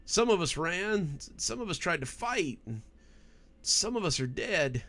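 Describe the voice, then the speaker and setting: A man voicing a frightened, shaken teenage boy who talks haltingly, in a horror story. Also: high voice, very animated, fairly bright tone, voice pushed up, heavily acted.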